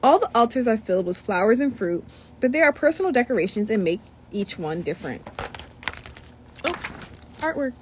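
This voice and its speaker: Brief: young woman, children's storybook read-aloud, bright and bouncy pitch, faint room hum. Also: normal speed, long pauses. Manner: reads with lively asides and commentary. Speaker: storybook reader.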